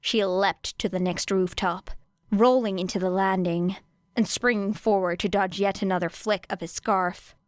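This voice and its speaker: A woman's voice: medium pitch, fairly even intonation, moderate pace, clean tone, no echo, studio quality. A woman reading a scripted story as an audiobook narrator.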